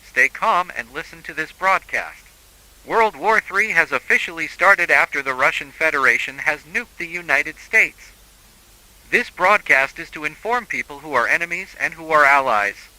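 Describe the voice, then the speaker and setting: A man's voice: a little high, pushed and bright, rough recording. A man reading scripted emergency alerts as a broadcast announcer, in a formal, solemn manner.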